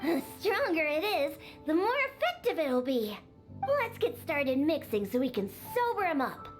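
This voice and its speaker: An exasperated young girl from a video game, bossy and bratty. The voice sits high and moves around in lively swings as it scolds and instructs. Faint music sits behind it. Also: normal speed. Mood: bossy, instructing. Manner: scolding, bratty, matter-of-fact. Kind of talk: video game character acting.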